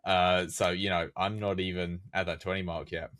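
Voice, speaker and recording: Low-voiced young man, rambling chat. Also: flat delivery, moderate pace, fairly bright tone. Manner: rambling, many fillers and restarts. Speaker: podcast guest, game map creator. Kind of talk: casual chat.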